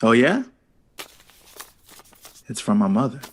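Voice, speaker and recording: A man's voice: medium pitch, sing-song, takes it slowly. An actor playing a playful, debonair mid-century man in a period audio drama, with a jaunty, cultured way of talking. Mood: breezy, amused. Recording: faint background noise.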